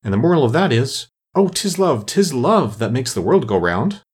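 A man's voice: mid-range voice, sing-song, moderate pace, slightly rough, pitched above the performer's own voice. A man voicing an ugly, fawning storybook Duchess who moralizes at length and agrees effusively. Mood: sentimental.

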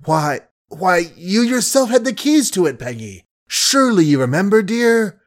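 A man's voice: a little high, slow, a little mellow, pitched above the performer's own voice. A man reading a desperate pleading young man. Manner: pleading, voice shaking and cracking. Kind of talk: audiobook character voice.

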